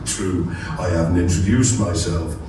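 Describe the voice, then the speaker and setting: An actor playing a friendly giant gamekeeper in an audiobook drama. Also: male voice, low voice, steady tone, a little slow. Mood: genial. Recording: echoey, distant, noisy event playback.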